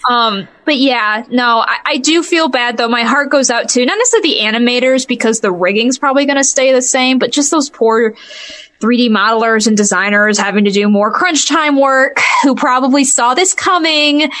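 A woman co-hosting a podcast in casual chat, talking in an animated, exclamatory way with emphatic repetition. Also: a little high, normal speed. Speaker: podcast co-host. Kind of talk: casual chat about pop culture.

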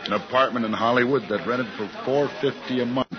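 An actor playing a tough, breezy police detective who talks in slang in a 1950s radio drama. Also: male voice, medium pitch, steady tone, brisk, a crowd in the background, natural voice. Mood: businesslike, tough. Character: brisk, slangy male police detective giving orders. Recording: dull vintage radio recording with music.

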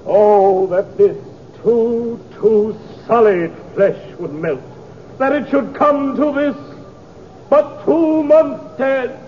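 A terrible, bombastic tragedian in a 1950s radio comedy western. His voice is pitched high, and he declaims very slowly and melodramatically. The old recording is muffled. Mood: anguished, melodramatic. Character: hammy, bombastic bad stage actor playing Hamlet.

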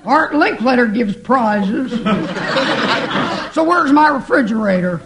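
A woman's voice: fairly high pitch, animated, slow pace. A woman playing a brash, demanding, unimpressed audience member in a 1950s radio comedy sketch, blunt and complaining. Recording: noisy vintage 1950s radio recording.

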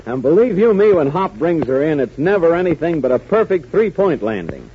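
A man with an American accent announces in dramatic 1940s radio style. His fairly high voice swings widely with emphasis. The old recording is muffled and faintly hissy. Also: sing-song, a little fast.

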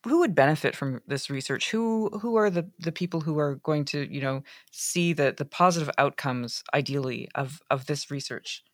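A woman's voice: deep voice, moderate pace, hardly any pauses, no room sound, studio quality. A woman hosting a podcast, reading a scripted intro and then asking interview questions with some hesitation. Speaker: podcast host.

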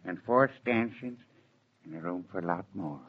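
A laconic farmer, speaking fairly low with a rural American accent. He is dry, plainspoken and unsentimental. The sound is a dull old radio drama recording. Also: lively intonation, normal speed. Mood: impressed. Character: laconic, unsentimental rural farmer, a mature man selling his cow. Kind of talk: 1940s radio drama acting.

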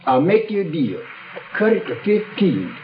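A folksy old American tattoo hawker in a radio drama, with slangy, drawling patter. His pitch jumps around in a very animated way, and the dated radio recording is dull and boxy. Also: moderate pace, slight background noise. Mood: hawking, eager. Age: older. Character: old leathery tattoo parlor man, folksy, wily hawker. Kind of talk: 1970s radio drama acting. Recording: dull, band-limited 1970s radio recording.

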